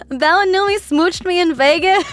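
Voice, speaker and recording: A woman streamer chatting casually with her viewers, her voice worn from a bad cough, keeping it lowered and laughing often. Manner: chatty, rambling with fillers. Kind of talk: casual livestream chat.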